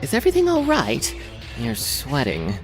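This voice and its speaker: A man's voice: mid-range voice, wildly swooping pitch, normal speed, faint music behind. A man acting a fussy, polite, reserved shop assistant in an audio drama, speaking hesitantly. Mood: hesitant, concerned.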